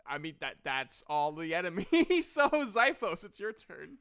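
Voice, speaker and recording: A man's voice: sits high, very animated, unhurried, sharp tone, clear, resonant tone. A man casually narrating and refereeing a tabletop game as game master, with a self-conscious, apologetic manner.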